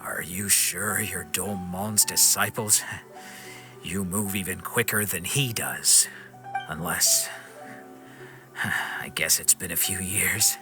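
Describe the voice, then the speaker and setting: A man playing a proud, bitter wandering swordsman in a video game, speaking in a guarded, brooding, measured way. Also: low voice, over music. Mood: wary surprise.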